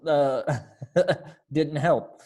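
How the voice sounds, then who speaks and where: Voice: mid-range voice, extremely slow, warm tone. A readers theater director speaking casually while giving notes to performers in an online rehearsal. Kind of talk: casual directing over video call, stage directions.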